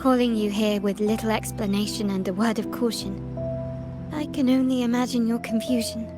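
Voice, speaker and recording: Game role: elegant young woman played by an actress, higher register, composed and measured, prominent music underneath. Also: little pitch movement, lightly acted. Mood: poised, lightly teasing. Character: poised, refined young woman investigating a betrayal. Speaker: video game character. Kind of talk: scripted game dialogue acting.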